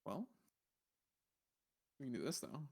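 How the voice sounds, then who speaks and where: A man with a mid-range voice hosts a casual livestream. He talks in a rambling way, thinking out loud as he browses. His delivery is conversational and musing, as if puzzling things out. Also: medium pitch, sing-song, unhurried, vocal fry. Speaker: livestream host.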